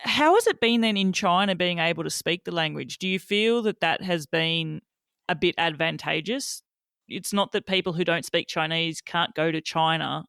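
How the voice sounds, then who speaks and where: A man's voice: a little high, creaky, pushed and bright, clear, resonant tone, no room sound, clean studio sound. A man hosting a podcast, asking curious, long, winding interview questions. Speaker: podcast host.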